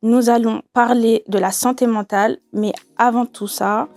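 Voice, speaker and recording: A woman's voice: fairly high pitch, steady tone, normal speed, a little mellow. A woman of twenty hosting a youth discussion panel, asking questions with some hesitant fillers. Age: 20s. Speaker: host.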